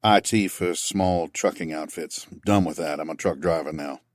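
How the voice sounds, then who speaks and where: A man voicing an awkward, reserved man who speaks low and hesitantly. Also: moderate pace, no room sound, clean studio sound, close to an everyday voice. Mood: subdued. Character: awkward, reserved grown man, ex-soldier trucker.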